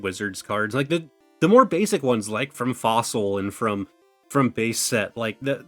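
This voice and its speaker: A young man talks loosely and at length, his voice thin and worn as if it is going out. He leans on fillers and loses his thread before finding it again. Faint music plays quietly behind him. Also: mid-range voice. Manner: rambling, full of "like" and "you know". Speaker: card-opening YouTuber. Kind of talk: casual chatty commentary.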